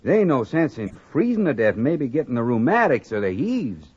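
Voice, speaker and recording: Husky, lively old frontier cowhand. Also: male voice, medium pitch, fairly quick. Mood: genial. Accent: rustic American West. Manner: drawling folksy slang. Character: folksy, good-humored old frontier cowhand. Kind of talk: 1950s radio western acting. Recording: dull 1950s radio recording.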